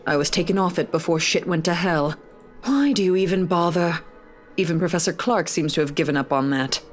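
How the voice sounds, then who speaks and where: A blunt female cop voiced by an actress in a visual novel. Her voice sits low and she talks curtly, worn down by the job. Soft music runs behind her. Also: brisk, lightly acted. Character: jaded, blunt female police detective. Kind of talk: visual novel game voice acting.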